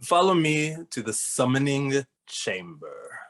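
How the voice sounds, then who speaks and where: A man's voice: medium pitch, very animated, slow, fairly bright tone. Man acting a serious, formal ghost detective. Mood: solemn. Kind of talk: animated comedy table read.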